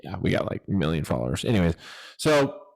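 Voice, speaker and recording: A man co-hosting a casual sports podcast, loud and joking with hype-man energy. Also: sits low, sing-song, fast, creaky. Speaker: podcast co-host. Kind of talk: casual chat.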